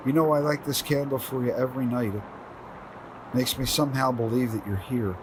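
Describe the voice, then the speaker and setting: An actor playing a lonely, grieving widower who muses wearily to himself in an audio drama. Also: male voice, mid-range voice, fairly even intonation, moderate pace, background noise, natural voice. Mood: wistful, weary. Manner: talks to himself, reflective.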